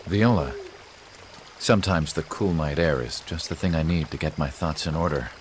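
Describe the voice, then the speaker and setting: An actor playing a calm, kindly rebel leader in a video game, composed and reassuring. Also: male voice, extremely low pitch, normal speed, a little hiss or hum. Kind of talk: video game cutscene acting.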